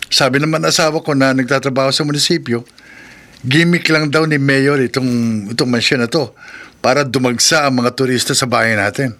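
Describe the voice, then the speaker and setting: An actor with a Filipino accent playing a nervous, eager-to-please taxi driver husband who stammers when uneasy, in a horror radio drama. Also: male voice, medium pitch, fairly even intonation, brisk, pushed and bright. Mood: friendly, uneasy.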